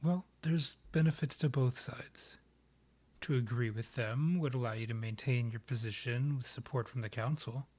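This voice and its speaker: A man acts out a proud vampire king in a fantasy audio roleplay. The voice is breathy and husky at a middling pitch. The phrasing is formal and measured, with a courtly, theatrical polish. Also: a little mellow, close to an everyday voice. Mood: measured, advisory. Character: proud, courtly male vampire monarch, rival and ex. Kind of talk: scripted roleplay audio, ASMR.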